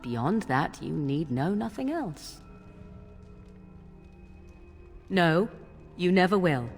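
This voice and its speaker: An actress playing a proud, guarded witch in a fantasy video game, speaking in formal, archaic phrasing with cool, deliberate persuasion. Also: fairly low pitch, big pitch swings, long reverb tail, faint music behind, heavily acted. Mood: guarded, earnest.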